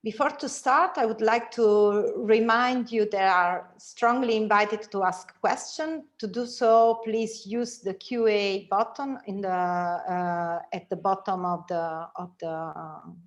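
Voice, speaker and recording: Woman moderating a webinar, halting. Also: medium pitch. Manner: halting, frequent fillers and restarts. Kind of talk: event announcements. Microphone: video call.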